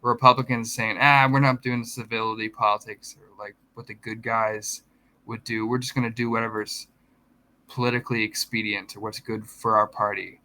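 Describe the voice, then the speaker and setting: A man's voice: lowish voice, flat delivery, normal speed, pushed and bright, poor audio. A man in casual podcast chat, explaining politics at length and correcting himself as he goes. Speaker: podcast co-host.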